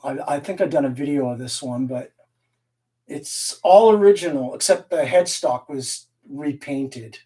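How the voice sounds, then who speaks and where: A man's voice: medium pitch, animated, measured pace, dark tone, distant mic. A man raised in Canada hosting a casual live stream, rambling, laughing often and reading the chat aloud. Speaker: livestream host and guitar collector.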